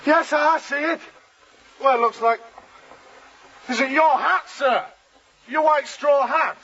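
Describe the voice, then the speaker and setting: An actor playing a doubtful, questioning ship's officer on a sailing ship in a radio drama. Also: male voice, very high-pitched, takes it slowly, pushed and bright, heavily acted. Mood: tense, excited. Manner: questioning, deferential but challenging. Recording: muffled lo-fi radio drama, clear noise.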